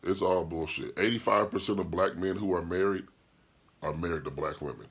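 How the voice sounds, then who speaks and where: A man with a very low, deep voice and a husky, slightly rough tone holds forth on his podcast. He talks bluntly and profanely, hammering his points with repeated rhetorical questions and stopping to answer comments from his live chat. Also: a little fast, fairly bright tone. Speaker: podcast host and DJ. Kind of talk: opinionated podcast monologue.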